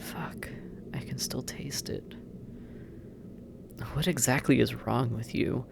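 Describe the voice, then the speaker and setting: A performer in a roleplay audio drama voicing a sardonic, teasing demon captor who drawls and murmurs with heavy pauses. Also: mid-range voice, fairly even intonation, vocal fry, noisy background. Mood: shaken, demanding. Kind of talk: scripted roleplay audio drama, intimate second-person address.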